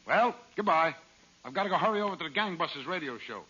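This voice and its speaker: A man's voice: fairly high pitch, animated, fast talker, bright, forceful tone, breathy edge, full character voice. A man playing a brash male stranger who turns out to be a pickpocket in a 1940s radio comedy. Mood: brusque. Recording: hissy dull old radio broadcast.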